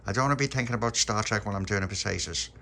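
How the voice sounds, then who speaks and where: A man voicing a dry, brisk, no-nonsense mum in a storybook. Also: on the low side, sharp tone, slight background noise. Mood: wry. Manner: wry and brisk. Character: dry, no-nonsense mum, middle-aged woman.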